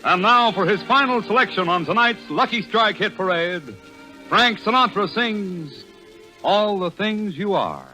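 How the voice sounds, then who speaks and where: An American man playing an excited announcer on a 1940s radio hit show. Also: high voice, unhurried, soft background music, full character voice, rough recording.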